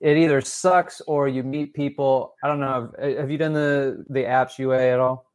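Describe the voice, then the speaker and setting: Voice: mid-range voice, steady tone, normal speed, darkish tone, clear, resonant tone. A podcast co-host in easygoing casual chat who laughs often.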